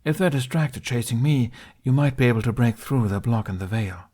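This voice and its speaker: A man voicing a protective young man who talks in firm, hushed reassurance in an audiobook fan reading. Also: medium pitch, expressive, brisk, natural voice. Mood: reassuring.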